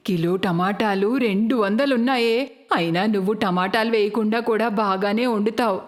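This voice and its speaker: A woman's voice: medium pitch, moderate pace, natural voice. A woman voicing a stingy mother-in-law. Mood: coaxing. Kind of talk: cartoon voice acting.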